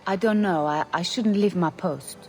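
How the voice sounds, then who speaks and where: A woman's voice: on the low side, normal speed, close to an everyday voice. Earnest servant woman played by an actress, echoing hall. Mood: hesitant, yielding. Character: hesitant, gossipy female castle servant. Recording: long echo, faint background music.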